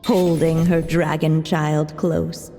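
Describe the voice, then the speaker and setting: A lowish, mellow female storybook narrator. She is solemn and gentle, telling a game's fairy tale in measured, literary phrases. Faint music runs behind her. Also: little pitch movement, in a large hall. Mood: solemn, tender. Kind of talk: scripted storybook narration in a video game.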